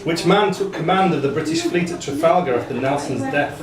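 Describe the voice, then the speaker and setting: A man's voice: fairly high pitch, fairly quick, music in the background. Echoey, distant male quizmaster. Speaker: quizmaster reading questions. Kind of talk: live pub quiz question reading. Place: pub function room. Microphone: distant room microphone. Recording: echoey room, distant mic, crowd noise.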